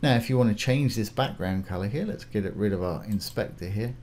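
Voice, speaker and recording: A man hosting a casual step-by-step software tutorial, informally talking through each action as he goes. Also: on the low side, fairly quick. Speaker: tutorial host. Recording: clear background noise, keyboard typing.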